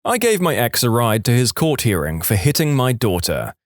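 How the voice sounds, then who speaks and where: A man's voice: mid-range voice, very animated, moderate pace, dry recording, clean studio sound. A man reading scripted online stories as a narrator, acting out the quoted speech.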